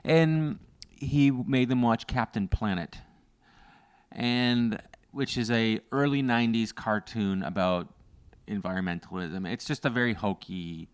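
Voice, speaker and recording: A man from Canada co-hosting a casual chat, telling stories and often jumping into loud, high exclamations. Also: measured pace, clear, resonant tone. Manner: animated storyteller, bursts into loud high exclamations and sung bits. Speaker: podcast co-host.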